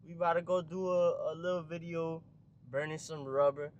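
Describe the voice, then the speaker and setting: A young man vlogging casually to his viewers in heavy street slang, getting loud and hyped outdoors around cars. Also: on the high side, moderate pace, lo-fi sound, slight background noise. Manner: hyped slang-heavy street talk, yelling when excited. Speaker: car vlogger. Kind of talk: casual vlog talk to viewers.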